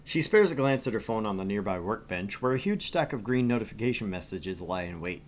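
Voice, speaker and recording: A man's voice: lowish voice, expressive, a little fast, slight background noise. A man streaming a visual novel, reading its narration aloud and chatting casually about it. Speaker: streamer and reader.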